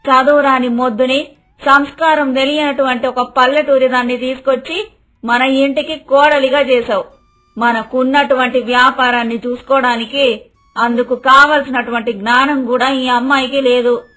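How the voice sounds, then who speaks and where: A woman's voice: high-pitched, fairly even intonation, normal speed, fairly bright tone, low-quality recording. A woman voicing a proud, disapproving, suspicious mother-in-law in a cartoon moral story. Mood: indignant, scheming. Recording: dialogue over background music.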